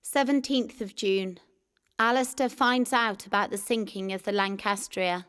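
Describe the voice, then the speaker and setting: A woman's voice: mid-range voice, fairly even intonation, unhurried, a bit bright, clean tone. A woman reading a scripted first-person wartime memoir as a narrator. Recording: music behind the voice. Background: music.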